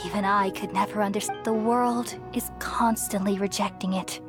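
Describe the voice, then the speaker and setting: A woman playing a serene, ancient goddess of wisdom in a video game, speaking in a measured, formal and gentle way. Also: medium pitch, fairly even intonation, over music, close to an everyday voice. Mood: solemn. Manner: measured, formal, explanatory delivery. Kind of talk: video game story dialogue.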